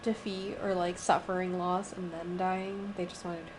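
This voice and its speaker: A woman streaming a game live, giving casual, halting commentary as she thinks aloud. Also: on the low side, little pitch movement. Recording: loud background noise on livestream.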